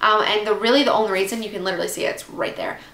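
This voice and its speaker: A woman's voice: mid-range voice, very fast, vocal fry, fairly bright tone. A young woman in her twenties with a congested cold, chatting casually to camera about books, rambling with lots of asides. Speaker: book vlogger. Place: at home, indoors. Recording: echoey room with faint background noise.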